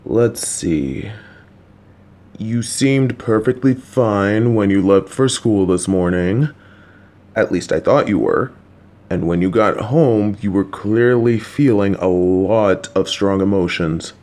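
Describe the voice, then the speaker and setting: Man voicing a middle-aged father, gentle, reassuring, unhurried, slightly low voice, jokey dad humor. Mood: calm, coaxing. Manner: patient, coaxing, reassuring; jokey asides. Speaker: voice actor in an audio roleplay. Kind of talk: listener-directed audio roleplay.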